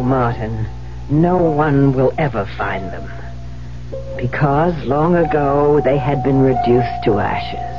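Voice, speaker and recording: An actress playing a guilty, evasive wife and novelist in a theatrical 1970s radio drama style. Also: female voice, very deep voice. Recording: muffled old radio broadcast.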